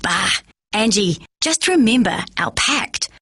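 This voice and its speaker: A woman voicing an affectionate, playful grandfather in a storybook reading, with a husky voice. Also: medium pitch, very animated, slow, fairly bright tone, no echo, clean studio sound, exaggerated voice. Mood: fond.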